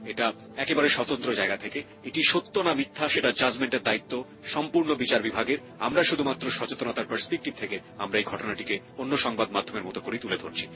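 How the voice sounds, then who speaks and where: A man with a Bangladeshi Bengali accent hosts a radio interview in a grave, deliberate, measured manner. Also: mid-range voice. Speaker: radio show host. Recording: music under the voice. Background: background music.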